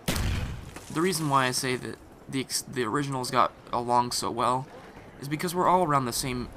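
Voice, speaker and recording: A man's voice: mid-range voice, normal speed. Scratchy-throated man rambling over gunfire. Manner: rambling, with many fillers and restarts. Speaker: gaming commentator. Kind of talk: casual voice-over commentary over gameplay. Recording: voice-over mixed over loud game gunfire. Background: game gunfire and explosions.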